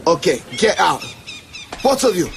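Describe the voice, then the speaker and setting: Threatening gangster guard, highish voice, very animated, barking, radio thriller, noisy background. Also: male voice, unhurried, vocal fry, full character voice. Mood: barking orders. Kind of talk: radio drama acting.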